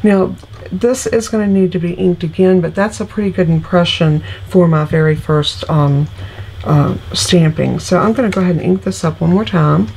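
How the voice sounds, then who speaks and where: A woman's voice: fairly low pitch, normal speed, creaky, a little mellow, a little hiss or hum, low-quality recording. A middle-aged woman, still congested from illness, chatting homily through each step of a card-making tutorial. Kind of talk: casual craft tutorial.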